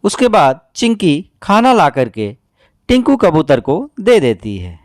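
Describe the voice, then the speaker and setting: Male narrator, scripted storybook style, highish voice, lively intonation, slow pace, dry close recording. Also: no echo.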